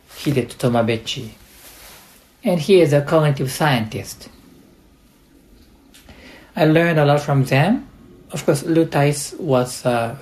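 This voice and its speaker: A man with a Japanese accent delivers an instructional podcast monologue as a coach. His pace is slow and his voice sits at a medium pitch. He places his words deliberately, in short phrases with halts between them. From Japan, partly raised in the United States.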